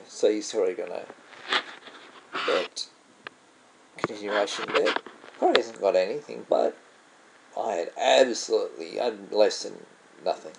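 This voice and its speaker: Slow, gravelly Australian man. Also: fairly low pitch, a little hiss or hum, poor audio. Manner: musing aloud, trailing off and correcting himself. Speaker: vlogger playing online poker. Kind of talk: casual commentary over gameplay.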